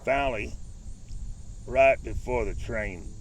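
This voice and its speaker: A man in his fifties from Ohio with a Southern accent picked up in Tennessee, rambling through old fishing stories in a folksy, loose way while he fishes outdoors. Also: mid-range voice. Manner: folksy, drawn-out reminiscing with loose grammar. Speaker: fishing vlogger. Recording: distant mic, clear outdoor noise.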